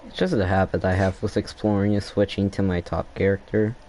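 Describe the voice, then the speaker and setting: A man's voice: low voice, fairly even intonation, a little fast, darkish tone, slight background noise. Rambling man narrating his gameplay. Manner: rambling, thinks aloud, trails off and restarts. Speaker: gameplay streamer. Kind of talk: casual gameplay commentary.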